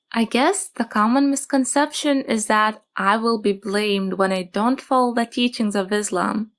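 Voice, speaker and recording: A young woman, calmly telling her own story in a soft, measured way. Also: a little high. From Japan. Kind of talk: calm personal testimony.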